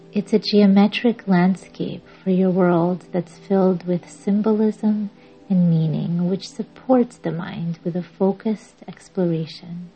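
A woman guiding a yoga nidra meditation in a measured, soothing, instructing way with long pauses. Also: fairly low pitch, breathy edge. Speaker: meditation podcast host and guide. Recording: dull lo-fi audio under music. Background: music.

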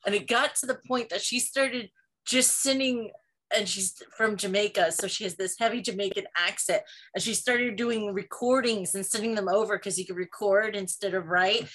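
A woman co-hosting a podcast, chatting casually and telling stories in an animated way. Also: medium pitch, moderate pace, poor audio. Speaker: podcast co-host and author. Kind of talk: casual chat.

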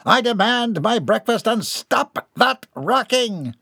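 Pompous portly aristocrat, voiced by a man, pitched high, haughty commands, drawn out. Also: animated, takes it slowly, vocal fry, sharp tone, breathy edge, no room sound, studio quality, heavily acted. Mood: haughty demanding. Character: pompous portly spoiled male aristocrat, demanding.

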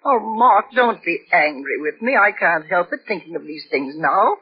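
A woman's voice: lowish voice, expressive, moderate pace, highs cut off, slight background noise, natural voice. An actress playing a frightened, apologetic wife and mother, hesitant and pleading, in 1940s radio mystery style on an old recording. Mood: anxious, pleading.

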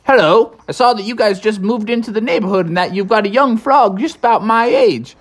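A woman voicing a friendly young beaver boy in a storybook, eager and quick to explain. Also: medium pitch, lively intonation, pitched above the performer's own voice, close to an everyday voice.

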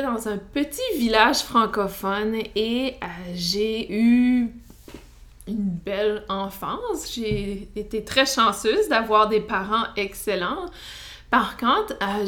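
A woman with an Acadian French accent, hosting her own podcast as a psychotherapist, speaking in a relaxed monologue with frequent hesitations and light laughs. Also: fairly high pitch. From New Brunswick, Canada. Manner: hesitant, many "euh" fillers, light laughs.

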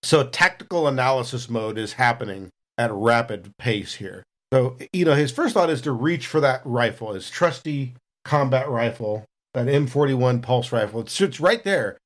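A man's voice: medium pitch, expressive, normal speed, hardly any pauses. A man playing a tabletop role-playing game, narrating his character's actions with wry understatement.

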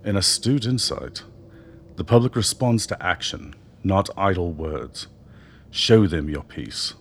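An actor in a radio drama playing an older doctor, a persuasive political mentor who speaks in measured, eloquent phrases. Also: male voice, low voice, very animated, fairly bright tone, heavily acted. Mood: commanding, urging. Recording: noticeable background noise.

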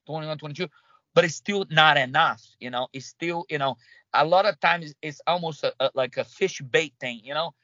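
Brazilian man, accented English, stop-start interview guest, higher voice, dry recording. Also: bright, forceful tone, no room sound, muffled recording. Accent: Brazilian Portuguese. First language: Portuguese. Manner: halting, many restarts and fillers. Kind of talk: conversational interview.

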